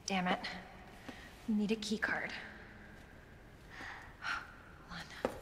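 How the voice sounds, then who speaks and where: A woman's voice: medium pitch, steady tone, drawn-out words, fairly bright tone. A woman playing a brave, protective young American survivor in a video game, earnest and blunt. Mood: protective concern. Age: young adult. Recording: echoing concrete hall, faint noise.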